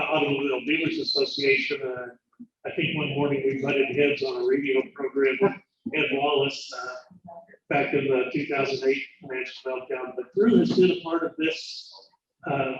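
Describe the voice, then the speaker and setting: A man introducing a guest speaker in a conversational way, pausing often with "uh" fillers. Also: medium pitch, noisy background. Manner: conversational, hesitant with many "uh" fillers. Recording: echoey room, from far off, dull lo-fi sound.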